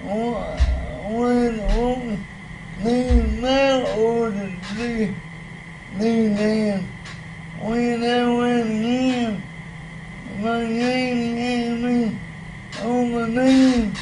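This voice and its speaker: An older man, speaking in halting, fragmented bits in a small library room. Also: extremely high pitch, flat delivery. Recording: noisy room recording.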